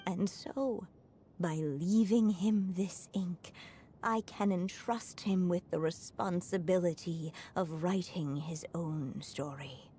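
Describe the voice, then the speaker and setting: An actress playing a gentle, earnest mother and writer in a video game, speaking softly and in measured phrases. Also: on the low side, slight background noise. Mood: earnest, hopeful. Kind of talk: scripted video game voice acting.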